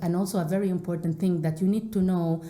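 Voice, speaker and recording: Low, mellow-voiced woman with echo. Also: steady tone, quick pace, slight background noise. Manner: hesitant, many fillers and restarts. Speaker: interview guest, English teacher. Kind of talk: casual interview answers. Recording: echoey room.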